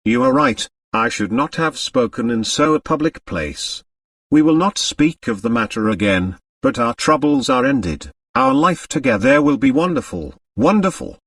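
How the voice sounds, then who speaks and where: A man's voice: medium pitch, sing-song, normal speed, creaky, fairly bright tone, dry recording, studio quality, distant mic, heavily acted. A man voicing a seductive, possessive foreign woman dancer in an audiobook, coaxing and emphatic. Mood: elated. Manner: dramatic, coaxing, emphatic repetition. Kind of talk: audiobook character acting.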